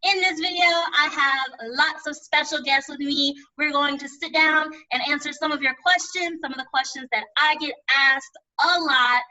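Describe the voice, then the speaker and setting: A woman's voice: high-pitched, sharp tone. A woman from Alabama who hosts a casual video-call chat and talks in a friendly, direct way. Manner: friendly, direct, with some fillers. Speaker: host and teacher. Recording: voice at a distance from the mic.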